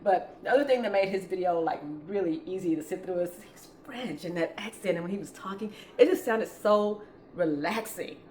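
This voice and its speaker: A woman's voice: medium pitch, normal speed, a little mellow. A chatty woman with a Southern US accent, talking casually as she hosts a home cooking demonstration. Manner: chatty, informal. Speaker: home cooking vlog host. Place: indoors in a home kitchen. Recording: roomy kitchen echo, clattering utensil noise.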